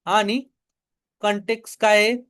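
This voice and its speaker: Male tutorial instructor, Marathi accent, notably high voice, explains each step and asks then answers his own questions. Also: flat delivery, moderate pace, a bit bright, smooth. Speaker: online business tutorial instructor. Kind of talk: step-by-step screen demo tutorial.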